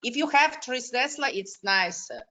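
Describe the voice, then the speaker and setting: A woman with a foreign accent, not a native English speaker, giving spontaneous expert answers haltingly and repeating words for emphasis. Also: fairly high pitch, animated, sharp tone, a little hiss or hum. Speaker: surgeon on a discussion panel. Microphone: online video call.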